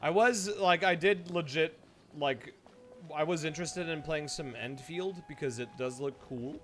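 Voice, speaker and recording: A man's voice: a little high, measured pace, fairly bright tone. A man doing casual live game-stream commentary, joking and sweary as he reacts. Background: game music.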